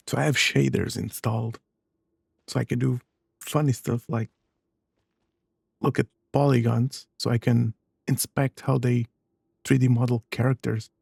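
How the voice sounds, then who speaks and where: Mellow, creaky-voiced man. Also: mid-range voice, steady tone, slow pace, pauses often, no room sound, studio quality, soft background music. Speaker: gaming streamer. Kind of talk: casual playthrough commentary.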